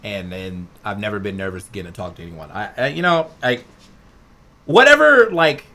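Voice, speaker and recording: A man's voice: fairly low pitch, very animated, moderate pace, pushed and bright. A man co-hosting a podcast, chatting casually and emphatically in slangy speech, often repeating his phrases. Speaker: podcast co-host. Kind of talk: casual chat.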